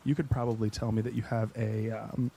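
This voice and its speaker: Mellow-voiced enthusiastic stream host. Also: male voice, on the low side, flat delivery, fairly quick, creaky, a little hiss or hum. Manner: friendly, enthusiastic, reads chat questions. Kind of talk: casual interview chat.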